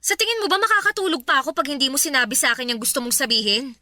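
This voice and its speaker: A woman's voice: high voice, very fast, lightly acted. An actress playing an evasive, easily irritated young girlfriend in a radio drama, with a Filipino accent. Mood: indignant. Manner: defensive, scolding. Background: faint music.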